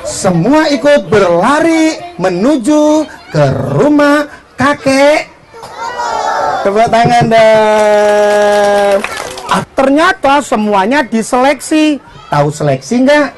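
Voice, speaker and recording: A woman tells a children's story live in a high voice, with slow, theatrical delivery. She calls out to her audience over a noisy crowd. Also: expressive.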